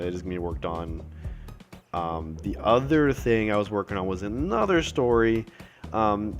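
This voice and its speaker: Deep-voiced man, cold-roughened raspy voice, rambling update talk, faint music behind. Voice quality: rambling, many fillers and restarts. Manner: apologetic, appreciative. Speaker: self-published author giving updates. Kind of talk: casual update video.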